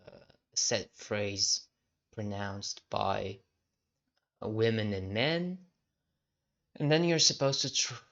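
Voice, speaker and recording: Slow, halting young man, bright voice. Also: fairly low pitch. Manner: halting, heavy with fillers and restarts. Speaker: programming diary vlogger. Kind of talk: casual screen-share walkthrough. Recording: dull lo-fi audio.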